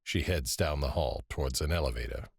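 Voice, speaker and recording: A man narrating an audio drama in measured, descriptive scripted prose. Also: rumbling low, creaky, breathy edge, no room sound, clean studio sound, heavily acted. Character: male narrator setting scenes, measured and descriptive. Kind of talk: scripted present-tense scene narration.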